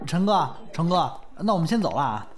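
A man's voice: fairly high pitch, big pitch swings, a little fast, a little hiss or hum. A man with a northern Mainland Chinese accent, acting a genial, streetwise young comic performer in an audio drama. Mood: eager, placating. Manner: colloquial, placating. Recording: music behind the voice.

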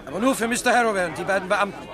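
Male actor: fast-talking, high-voiced officer barking orders over a crowd. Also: natural voice. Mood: commanding. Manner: curt, commanding. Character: brisk male police officer keeping order. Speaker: police officer. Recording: crowd noise behind.

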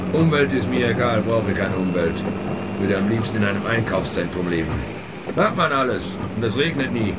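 An actor playing a sullen, cynical truck driver who grumbles in clipped fragments in a radio play. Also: male voice, on the low side. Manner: clipped fragments, muttered curses. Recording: loud vehicle noise behind. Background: driving truck noise.

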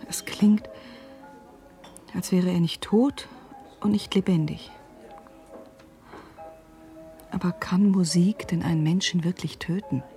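An actress playing a worried, disbelieving sister in a German radio drama, hushed, breathy and questioning. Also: female voice, lowish voice, fairly even intonation, measured pace, soft background music. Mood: hushed dread. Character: worried, disbelieving sister anxious for her brother.

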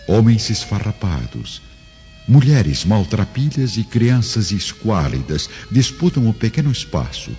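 Male narrator, low voice, dramatic scripted delivery, solemn, expressive, slightly dull recording with music. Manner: solemn, theatrical.

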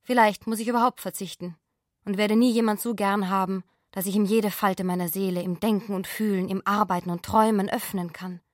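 A woman's voice: mid-range voice, steady tone, fairly quick, dry recording, clean studio sound, close to an everyday voice. A woman playing an earnest, precocious young woman speaking reflectively in a radio drama. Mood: pensive, resigned.

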